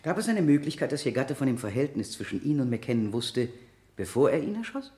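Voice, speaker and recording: An actor playing a relentless, aggressive prosecutor in a courtroom radio drama, pressing with theatrical rhetoric. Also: male voice, mid-range voice, sing-song, fairly quick, lightly acted. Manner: forceful rhetoric, pressing questions. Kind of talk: 1970 German courtroom radio drama acting. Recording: echoey room, 1970 radio production.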